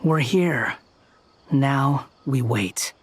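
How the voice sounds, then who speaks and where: An actress playing a brusque, cool and impatient young fighter with a dry, curt way of talking in an anime game. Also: female voice, extremely low pitch, expressive, extremely slow, a little hiss or hum, full character voice. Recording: loud game music behind.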